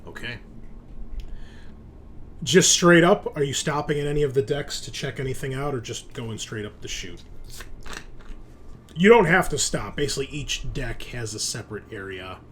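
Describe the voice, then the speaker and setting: A man's voice: medium pitch, expressive, normal speed, long pauses, a bit bright, a little hiss or hum. Informal game master, man thinking aloud. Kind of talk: explaining tabletop game rules and scenes.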